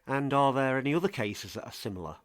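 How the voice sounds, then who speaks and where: A man's voice: mid-range voice, lively intonation, quick pace, no echo, clean studio sound. A man hosting a scripted podcast, narrating and putting interview questions to a guest.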